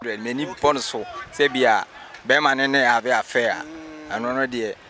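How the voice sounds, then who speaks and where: Male street interviewee, Ghanaian accent, mid-range voice, casual delivery, clearly noisy outdoor recording. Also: mid-range voice, moderate pace. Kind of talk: casual chat.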